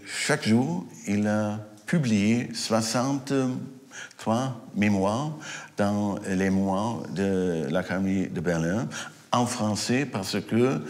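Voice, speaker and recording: A historian interviewed for a documentary, speaking deliberately and stressing key points. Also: male voice, on the low side, darkish tone.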